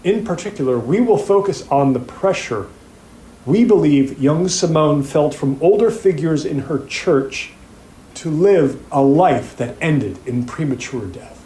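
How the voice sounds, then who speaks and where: A male actor plays a grave courtroom lawyer making a formal opening statement for an audio drama. He speaks slowly and deliberately, with solemn weight. The room rings with clear echo around his voice. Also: fairly high pitch, animated, warm tone. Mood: solemn, insistent. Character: sombre male plaintiffs' trial lawyer addressing a jury. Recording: echoey room.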